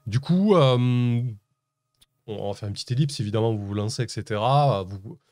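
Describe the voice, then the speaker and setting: A man's voice: medium pitch, normal speed, darkish tone, dry recording, studio quality. A man narrating as game master of a tabletop game, hesitant, with many fillers and restarts.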